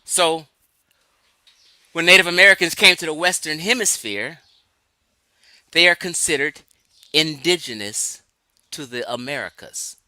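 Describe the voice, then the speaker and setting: A man's voice: on the high side, a little slow, pauses often, sharp tone, no echo. A man from Los Angeles giving an emphatic, lecturing livestream talk, answering his chat and laughing often. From Los Angeles, California. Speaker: livestream host.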